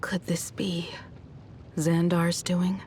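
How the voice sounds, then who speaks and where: A woman voicing a composed, caring and gently teasing mature traveler in a video game dub. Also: on the low side, takes it slowly, slight background noise. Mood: pensive. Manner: elegant, calm, wry.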